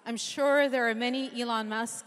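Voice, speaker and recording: A woman's voice: fairly high pitch, steady tone, normal speed. Woman speaker on a big reverberant stage. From Japan. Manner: earnest, lightly humorous, lists ideas in rhythmic runs. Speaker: keynote speaker. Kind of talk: conference keynote talk. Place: large conference stage with audience. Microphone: handheld mic. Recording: long hall reverb from a big venue.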